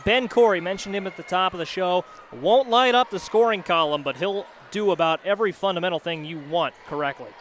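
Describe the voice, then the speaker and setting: Male basketball analyst for radio, high-pitched, conversational and explanatory, slight game noise behind. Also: fairly quick, a bit bright. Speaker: basketball color commentator. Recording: faint gym noise, bouncing balls. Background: basketball game in a gym.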